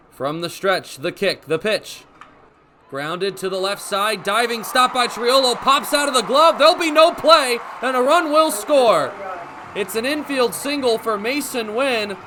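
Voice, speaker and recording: A young man calling baseball play-by-play for radio in crisp, descriptive phrases, as live sports commentary. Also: high-pitched, unhurried, background noise. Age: young adult. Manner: crisp, descriptive phrases with excited calls on big plays. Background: ballpark ambience.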